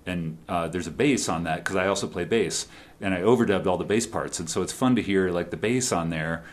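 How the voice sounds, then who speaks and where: A man's voice: low voice, a little fast. A man talking casually as a band musician being interviewed live in a radio studio.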